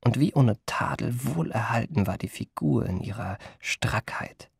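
A reflective gentleman memoirist tells his own story in a radio play. His mid-pitched male voice has a noticeable fry, and he unrolls long, ornate sentences with literary care. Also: lively intonation, dry recording, clean studio sound, full character voice. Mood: admiring, recollecting. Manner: ornate, measured literary delivery. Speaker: narrator. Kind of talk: scripted radio play narration.

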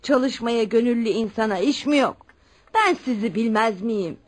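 High-voiced, scolding rich aunt. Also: female voice, expressive, normal speed. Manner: reproachful, resigned. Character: stern, well-off older aunt, scolding her nephews. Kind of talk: Turkish radio theatre acting.

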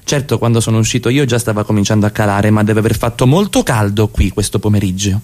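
A mellow, soft-edged male voice of an actor playing a middle-class husband in a radio drama. He is courteous and casual on the surface, with measured, needling questions underneath. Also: fairly low pitch, natural voice. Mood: probing, curious. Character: middle-class husband, polite, probing, quietly needling his wife. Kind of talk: radio theatre dialogue.

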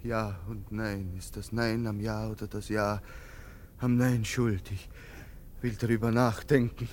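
A man's voice: lowish voice, little pitch movement, darkish tone, lightly acted. Man as a troubled, brooding poor soldier, noisy recording. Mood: feverish brooding. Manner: halting, intense, deferential. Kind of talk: German radio drama acting.

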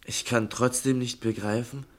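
A man's voice: mid-range voice, fairly even intonation, normal speed, natural voice. An actor playing an earnest, hesitant young man in a 1960s radio play, respectful yet probing. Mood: puzzled, insistent. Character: earnest, hesitant young man, deferential but insistent questioner.

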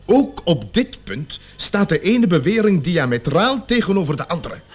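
An actor playing a stern, commanding police officer in a radio play, authoritative and emphatic. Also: male voice, high-pitched, sing-song, moderate pace, slightly rough, soft background music, full character voice. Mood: methodical, grave. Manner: authoritative, pressing, theatrically emphatic.

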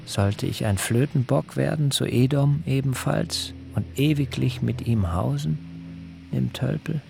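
A wily, disdainful biblical brother in a radio drama. He speaks slowly and pointedly, with a gravelly creak. Faint farm animal ambience sits behind him. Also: medium pitch, dark tone, slight background noise. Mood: disdainful, private. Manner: measured, pointed, smoothly evasive. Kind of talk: German literary radio drama acting.

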